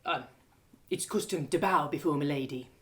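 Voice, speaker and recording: A woman playing a kindly male prison guard with a folksy, polite and gentle way of talking. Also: low-pitched, normal speed, voice pushed down.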